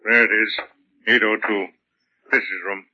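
An actor playing a cynical, weary police detective with a blunt, sardonic manner in a 1940s radio drama. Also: male voice, on the low side, flat delivery, normal speed, a little hiss or hum, lightly acted. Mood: dry, resigned. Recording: dull lo-fi 1940s radio recording.

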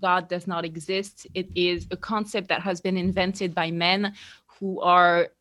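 A woman's voice: lowish voice, level tone, measured pace, a bit bright. A middle-aged woman from France with a French accent, speaking conversationally as a podcast guest about personal experience.

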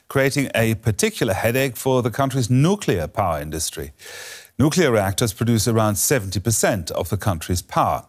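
A man presenting broadcast news, reading a scripted introduction to a report. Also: medium pitch.

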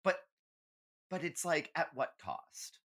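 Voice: mid-range voice, very animated, slow, a bit bright, husky. A podcast co-host in casual chat, giving candid, emphatic, sweary opinions like a comic rant.

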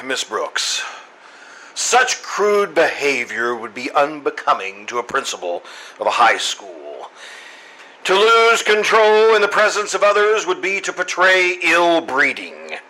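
A man playing a pompous, exasperated high school principal in an old-time radio comedy, speaking in elaborately formal, sarcastic sentences. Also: sing-song, a little slow, bright, forceful tone, heavily acted, low-quality recording. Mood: icy restraint. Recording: live stage recording, background noise.

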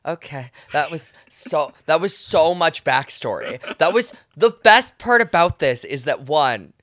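A man hosting a podcast, chatting casually and teasing his co-hosts with quick playful asides. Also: on the high side, very animated, fairly bright tone, no room sound, clean studio sound. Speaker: podcast host.

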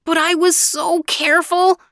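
A woman voicing a grieving young man, speaking high and strained with despair. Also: expressive, unhurried, a bit bright, no echo, studio quality. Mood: anguished.

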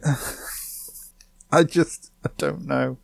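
A man hosting a casual podcast, giggly and rambling, his voice going hoarse. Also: fairly high pitch, steady tone, slow pace, no echo. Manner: giggly, rambling, self-interrupting. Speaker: podcast host. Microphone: home microphone.

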